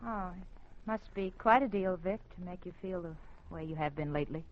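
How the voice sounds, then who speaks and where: A woman's voice: fairly low pitch, normal speed, lightly acted. A woman playing a breezy, affectionate, well-off young wife in an old radio drama. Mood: concerned. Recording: dull, noisy old radio recording.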